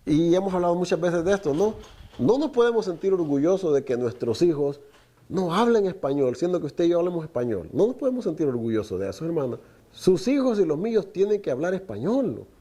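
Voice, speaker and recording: Mellow-voiced man preaching. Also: a little high, big pitch swings, brisk. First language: Spanish. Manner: preaching with rhetorical questions, often addresses listeners as brother. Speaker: teacher of a Torah portion. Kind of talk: Spanish-language Torah teaching.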